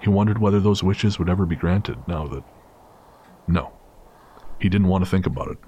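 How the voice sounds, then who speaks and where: A man's voice: sits low, normal speed, mellow, highs cut off. A man reading a dramatic scripted story as the narrator of an audio drama. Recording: clear background noise and ambience.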